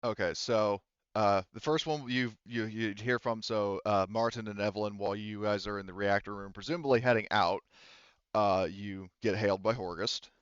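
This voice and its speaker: A man's voice: on the low side, steady tone, moderate pace, clear, resonant tone, no echo, highs cut off. A man running a tabletop roleplaying game as game master, explaining the situation conversationally.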